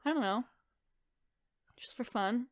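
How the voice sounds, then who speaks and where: A woman's voice: fairly high pitch, takes it slowly, no room sound, clean studio sound. A young woman co-hosting a podcast in casual, joking banter full of informal filler words. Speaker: podcast co-host. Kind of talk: casual comedic banter about creepy folklore.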